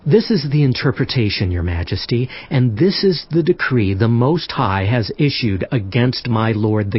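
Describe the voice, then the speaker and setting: A man's voice: mid-range voice, dry recording. A man playing an earnest, respectful prophet, speaking formally and huskily in a dramatized scripture recording. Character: earnest, respectful prophet interpreting a king's dream. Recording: slightly muffled.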